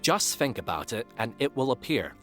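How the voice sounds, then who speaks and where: A man voicing a cheerful, excitable young pegasus pony who loves nature, with an animated and cheeky manner, in an audio drama. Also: medium pitch, normal speed, faint music behind. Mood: upbeat. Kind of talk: acted dialogue in a fan-made audio drama.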